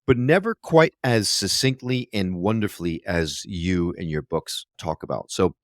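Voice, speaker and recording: A man's voice: low-pitched, lively intonation, normal speed, no echo, clean studio sound. A man co-hosting a podcast who talks eagerly and effusively, sometimes stumbling over his words. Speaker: podcast co-host. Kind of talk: podcast interview.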